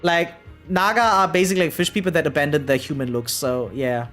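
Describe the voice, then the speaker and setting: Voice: medium pitch, steady tone, a little fast, fairly bright tone. A streamer in their thirties giving casual live commentary, rambling and often tagging sentences with "right?". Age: 30s. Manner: rambling, keeps adding "right?". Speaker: variety game streamer. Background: faint music.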